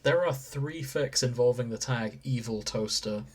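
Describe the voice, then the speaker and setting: A man's voice: medium pitch, measured pace, a crowd in the background. A man co-hosting a podcast in a casual, jokey, giggly way. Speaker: podcast co-host. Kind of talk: casual chat.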